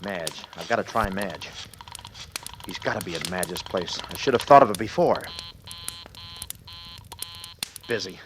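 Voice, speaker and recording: A man's voice: low voice, normal speed, natural voice. An actor playing a desperate, panicked businessman who narrates his ordeal in clipped, urgent sentences, in 1940s radio thriller style. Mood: anxious dread. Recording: noisy old-time radio recording.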